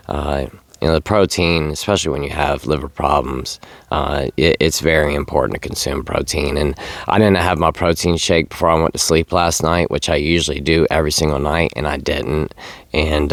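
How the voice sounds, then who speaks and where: A middle-aged man who is tired and losing his voice, talking casually and haltingly to camera in a vlog. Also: extremely low pitch, vocal fry, dry recording. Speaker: sobriety vlogger. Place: outdoors.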